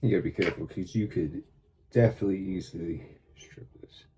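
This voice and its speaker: A man's voice: sits low, brisk, noisy background. A man with a stuffy voice from a cold, talking casually and muttering to himself as he builds a small model truck. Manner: mutters to himself while working. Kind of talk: casual build vlog talk.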